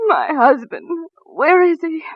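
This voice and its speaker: A muffled old radio recording of a worried wife. Her voice is high, urgent and pleading, in the style of 1940s radio drama. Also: sing-song, takes it slowly, no room sound, full character voice. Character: anxious wife, distressed and pleading.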